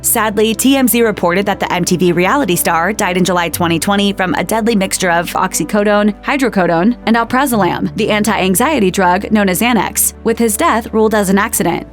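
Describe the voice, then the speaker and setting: A woman delivers scripted, documentary-style narration at a middling pitch, recorded on a close studio mic. Soft music plays underneath her voice. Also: fairly quick, few pauses. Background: background music.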